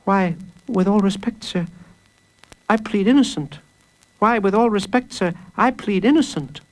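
Storybook little boy, played by a male narrator, polite and earnest, voice lifted very high. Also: measured pace, rough recording. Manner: respectful, earnest, repeats himself. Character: small earnest six-year-old boy, polite and repetitive.

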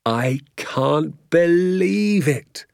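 A man's voice: mid-range voice, sing-song, normal speed, darkish tone, no echo, studio quality. A man voicing an exuberant, boastful father in a storybook, grandly emphatic and stretching his words with relish. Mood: elated.

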